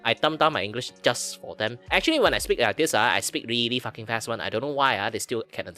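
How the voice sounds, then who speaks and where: Man, lively swinging pitch, bright forceful tone, casual joking stream chat, music behind. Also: quick pace. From Malaysia. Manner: animated, joking, freely swearing. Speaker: stream host.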